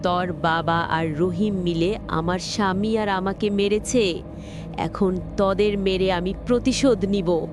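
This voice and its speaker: A woman voicing the vengeful ghost of a murdered young bride in a cartoon horror story, speaking with slow, deliberate menace. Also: medium pitch, music in the background, lightly acted.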